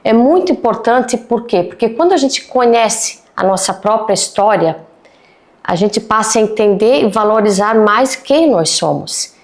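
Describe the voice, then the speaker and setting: A woman's voice: fairly high pitch, lively intonation, measured pace. A woman, a music teacher interviewed for a documentary, speaking earnestly and addressing parents directly.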